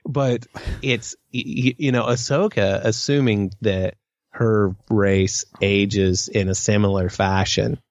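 A man's voice: fairly low pitch, fairly even intonation, takes it slowly, dry recording. A man co-hosting a podcast in casual fan discussion, thinking aloud and hedging as he goes. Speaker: podcast co-host.

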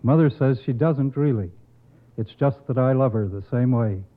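A man's voice: medium pitch, normal speed. Formal man on tinny old radio. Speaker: academic committee spokesman announcing awards. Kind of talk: formal prepared radio speech. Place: radio broadcast. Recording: muffled phone-like old radio recording.

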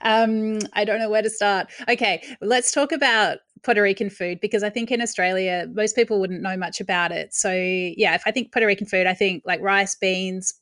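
A woman's voice: medium pitch, fairly even intonation, moderate pace, hardly any pauses, a bit bright. A woman interviewer asking questions in a curious, conversational way.

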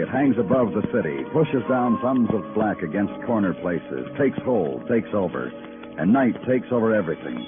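An actor playing a brooding hard-boiled detective narrator, deliberate and terse, in 1950s radio noir. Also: male voice, lowish voice. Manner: poetic, deliberate, terse in questioning. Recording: thin tinny old radio recording.

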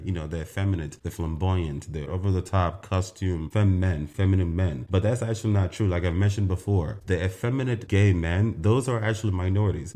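A man's voice: low voice, warm tone. A young man from Nigeria hosting his podcast, talking earnestly and emphatically with frequent restarts. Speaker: podcast host. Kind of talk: casual podcast monologue.

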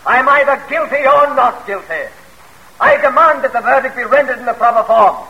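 An actor playing a proud, defiant gentleman defendant in old-time radio drama, theatrical and forceful. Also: very high voice, expressive, brisk, vocal fry, full character voice. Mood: indignant. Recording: muffled, noisy vintage radio recording.

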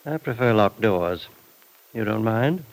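A man's voice: lowish voice, animated, measured pace, rough recording. An actor in an old-time radio drama playing a cold, mysterious, menacing man who speaks in a clipped, domineering way. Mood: cool, commanding. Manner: clipped, controlled, coolly domineering.

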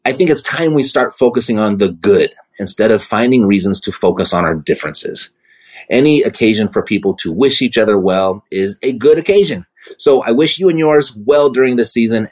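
Male podcast host, low voice, upbeat salesman tone, friendly. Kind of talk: podcast interview and promo.

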